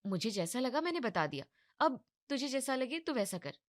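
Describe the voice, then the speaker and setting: Woman as a high-voiced, practical young wife. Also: sing-song, normal speed. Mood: firm, practical. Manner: matter-of-fact, coaxing. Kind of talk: Hindi animated moral story dialogue.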